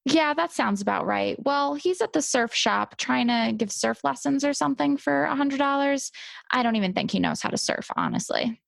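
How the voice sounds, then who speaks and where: A woman podcast host with a high voice and noticeable vocal fry. She talks casually with lively intonation in a dry recording. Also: normal speed, no echo. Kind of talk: casual chat, light improv.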